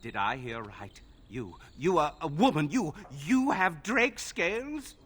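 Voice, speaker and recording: An actor playing an excitable, temperamental perfectionist armorsmith in a fantasy video game, theatrical and fussy. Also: male voice, a little high, measured pace, breathy edge, exaggerated voice. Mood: awed, eager. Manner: theatrical, fussy, gushing and petulant.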